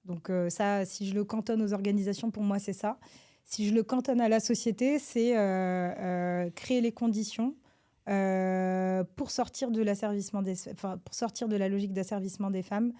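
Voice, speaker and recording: Woman, hesitant, lots of filler sounds, mellow warm tone, mid pitch, podcast guest. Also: fairly even intonation, moderate pace. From France. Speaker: government advisor, podcast guest. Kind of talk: conversational interview answers.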